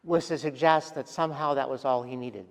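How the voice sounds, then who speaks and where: A man preaching a sermon as pastor, speaking gently and reflectively with pauses and soft trailing endings. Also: mid-range voice, steady tone. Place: large church sanctuary. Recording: long church reverb.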